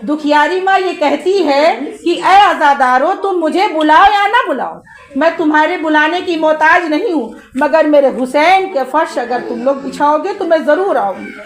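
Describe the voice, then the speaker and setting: A woman's voice: sits high, normal speed. A woman orator delivering a Shia religious sermon in a declamatory way, using rhetorical questions and repeated phrases. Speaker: majlis orator. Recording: live gathering with crowd noise. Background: congregation crowd.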